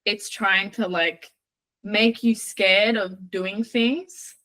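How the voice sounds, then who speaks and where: A woman from Australia, co-hosting a podcast and talking in a halting way full of "like". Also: medium pitch, fairly even intonation, pushed and bright. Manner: halting, many "like" fillers. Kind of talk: casual podcast chat.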